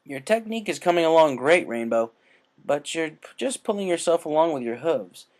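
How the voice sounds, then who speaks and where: An upbeat cartoon pony team captain played by a male reader. He talks like an encouraging coach, energetic and friendly, in a somewhat high voice. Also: moderate pace, lightly acted.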